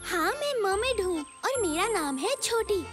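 Very high-pitched little mermaid girl. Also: big pitch swings, unhurried, over music, exaggerated voice. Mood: pleading. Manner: childlike, plaintive, sweet-pleading. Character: small, pleading, innocent little girl mermaid. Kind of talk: animated children's cartoon acting.

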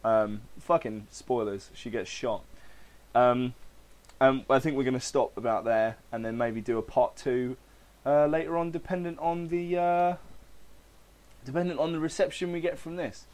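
A man's voice: medium pitch, normal speed, a little mellow. A man hosting a podcast, chatting casually and reading aloud in an informal, hesitant manner, his throat slightly crackly. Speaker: podcast host.

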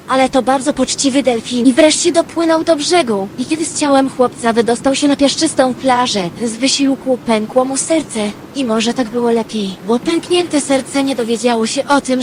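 A woman playing a wistful dreamer, narrating in an expressive literary storytelling manner for an audio drama. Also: sits high, slight background noise, natural voice. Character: Lady Number Two, wistful female dreamer telling her dream.

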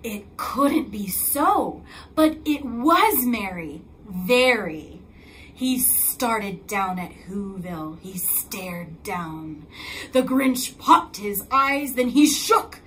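A young woman reading a storybook to small children as a teacher, with expressive, drawn-out emphasis. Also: mid-range voice, a little hiss or hum, poor audio. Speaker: kindergarten teacher reading aloud. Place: decorated school room, indoors.

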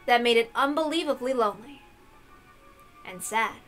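A woman's voice: fairly high pitch, normal speed, fairly bright tone, soft background music. A woman reading a visual novel aloud as scripted, dramatic narration.

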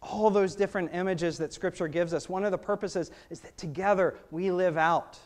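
A man's voice: high voice, steady tone, normal speed. A man in his thirties with an American accent, preaching a sermon from a church pulpit microphone, conversational and full of rhetorical questions. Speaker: pastor. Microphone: gooseneck pulpit microphone.

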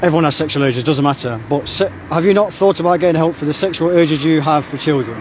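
A man's voice: fairly high pitch, fast talker. A man confronting someone in the street, blunt and insistent, repeating himself, recorded on a phone outdoors. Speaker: confronting member of a hunting group. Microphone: phone held while recording. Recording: outdoor traffic noise behind.

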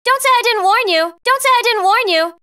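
A woman's voice: extremely high pitch, animated, fairly quick, sharp tone, full character voice. A woman voicing a spirited, combative young female fighter in short video game battle lines. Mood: defiant.

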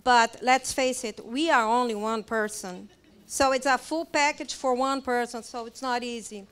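A woman's voice: fairly high pitch, steady tone, normal speed, fairly bright tone, clear, resonant tone. A middle-aged woman with a Brazilian Portuguese accent, giving a chatty seminar talk to a group in a hotel meeting room on a handheld mic, with many fillers. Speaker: children's ministry trainer. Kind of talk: seminar presentation.

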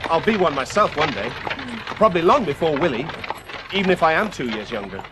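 An actor playing an eager, talkative young brother in a radio play. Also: male voice, fairly high pitch, big pitch swings, fairly quick. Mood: eager, proud. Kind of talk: 1980s radio play acting. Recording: noisy restored radio broadcast tape.

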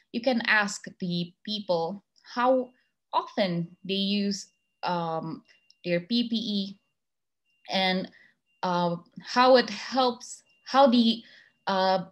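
A young woman with an Indonesian accent giving a slide presentation over a video call, hesitant with many fillers and restarts. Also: mid-range voice. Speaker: masterclass speaker.

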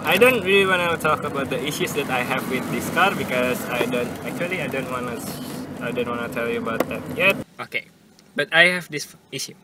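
A young man with an Indonesian accent chatting casually for a vlog while driving, haltingly repeating and correcting his words. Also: mid-range voice. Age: young adult. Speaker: vlogger. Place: inside a car with windows open, driving. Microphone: camera propped on the dashboard. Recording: clear car and traffic noise.

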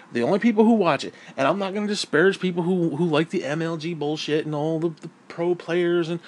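Male gamer, raised pitch, hoarse and fading voice, profane heated rant. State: hoarse, losing his voice. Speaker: gaming vlogger. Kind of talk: angry opinion rant.

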